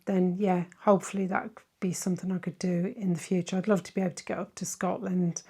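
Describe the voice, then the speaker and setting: A middle-aged woman with a softened Irish accent, chatting casually as she answers viewer questions. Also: lowish voice. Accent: Irish, softened by decades in southern England. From Ireland. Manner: chatty and warm-hearted, many "um"s and tag questions. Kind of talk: casual Q&A chat.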